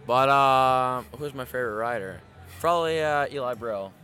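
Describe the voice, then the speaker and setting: A man's voice: medium pitch, moderate pace, bright, forceful tone, faint music behind. A man being interviewed outdoors about his riding, talking in a laid-back, joking way. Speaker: interviewee, rider.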